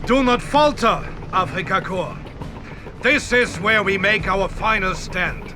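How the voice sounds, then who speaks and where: A man's voice: high-pitched, measured pace, over music. A man playing a grim, weary but resolute field commander in a video game, commanding and solemn. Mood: grave, urgent. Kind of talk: dramatic video game acting.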